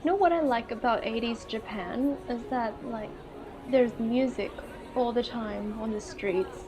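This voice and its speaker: A woman casually commentating on a game and reading its text aloud, with expressive reactions. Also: fairly high pitch, a little slow, a crowd in the background. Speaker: gaming video host. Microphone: earphone mic.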